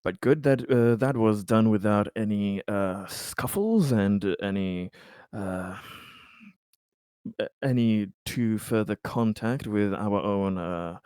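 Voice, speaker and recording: A man speaking formally and haltingly, repeating words as he plays a tabletop roleplaying game. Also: on the low side, darkish tone, smooth, no room sound, studio quality. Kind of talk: in-character tabletop roleplay discussion.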